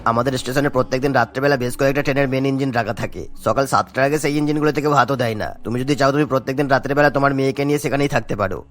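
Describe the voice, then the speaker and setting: Cartoon role: kind station worker, male actor, quick-fire speech, sincere advising manner, music bed. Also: mid-range voice, fairly even intonation, close to an everyday voice. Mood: earnest, helpful. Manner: earnest, advising. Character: kindly railway worker offering shelter. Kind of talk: Bengali cartoon voice acting.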